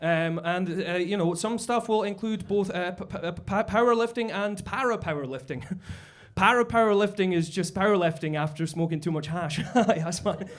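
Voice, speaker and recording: High-voiced man doing halting stand-up. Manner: halting delivery full of uh and um fillers, stumbles. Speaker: headlining stand-up comedian. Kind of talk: live stand-up set. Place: basement comedy club stage.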